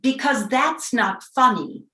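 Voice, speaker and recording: A woman's voice: on the high side, slow, pushed and bright. A woman playing a bossy, determined older woman in a staged reading of a play. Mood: defensive. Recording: roomy, echoey sound.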